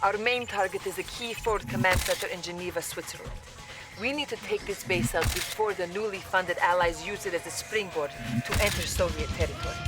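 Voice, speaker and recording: An actress playing a dutiful, earnest Soviet information officer with a Russian accent, giving video game mission briefings. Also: female voice, fairly low pitch, lightly acted. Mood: earnest, resolute. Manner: crisp, formal, patriotic.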